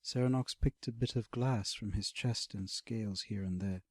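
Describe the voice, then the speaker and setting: A man narrating a scripted fantasy audiobook as a dramatic, expressive storyteller. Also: lowish voice, moderate pace, slightly rough, dry recording, clean studio sound.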